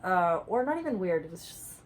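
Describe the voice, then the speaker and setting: Wry low-voiced woman from Argentina. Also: very animated, a little fast, a little hiss or hum. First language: Spanish. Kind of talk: personal account.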